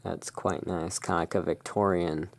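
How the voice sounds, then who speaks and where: A person playing the soft-spoken, musing owner of a gothic curiosity emporium in a halting, hushed ASMR roleplay. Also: sits low, steady tone, vocal fry. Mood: musing, relaxed.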